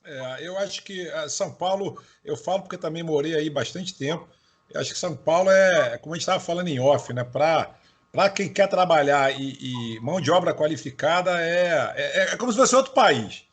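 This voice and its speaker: A husky, fairly high man's voice with a Carioca accent, chatting loosely as an interview host. He talks in a relaxed, rambling way, often restarting his sentences. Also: normal speed. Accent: Carioca Portuguese. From Bahia, Brazil. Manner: chatty, laughs while talking, many restarts. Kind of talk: casual interview chat.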